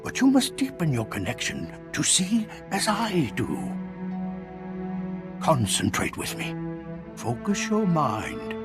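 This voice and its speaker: A man playing an elderly, theatrical, wise Jedi master in a video game, with dramatic pauses and drawn-out mentor phrasing. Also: fairly high pitch, huge pitch swings, over loud music, full character voice. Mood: mystical, earnest.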